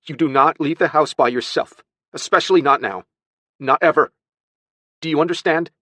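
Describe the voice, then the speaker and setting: Male narrator as worried young aunt, raised pitch, sharp and firm, emotional, audiobook dialogue, dry studio sound. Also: normal speed, no echo. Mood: stern scolding. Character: distraught, stern young aunt scolding a little boy.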